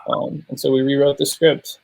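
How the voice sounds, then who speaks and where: A man's voice: medium pitch, flat delivery, normal speed, gravelly, a little mellow, no echo, low-quality recording. A man speaking as a filmmaker guest in a panel interview, explaining how a film was made.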